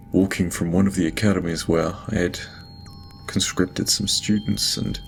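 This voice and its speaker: An actor playing a guilt-ridden lord in an audio drama, dictating a private log in halting, stammering speech broken by sighs. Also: male voice, low voice, creaky, a little hiss or hum, low-quality recording. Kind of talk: audio drama acting, personal log monologue. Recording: music behind the voice. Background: music.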